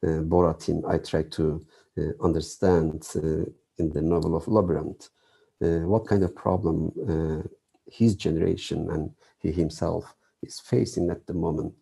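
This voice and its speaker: Low gravelly guy, author talking slow and halting, lots of uh's, dark husky tone. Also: fairly even intonation. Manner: thoughtful, many pauses and "uh" fillers. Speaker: novelist guest. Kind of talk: reflective interview answers.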